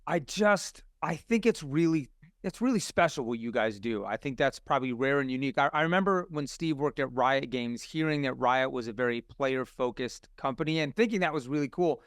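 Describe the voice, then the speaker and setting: A man's voice: medium pitch, lively intonation, brisk, no echo, studio quality. A man in casual podcast conversation, speaking in an earnest, effusive way.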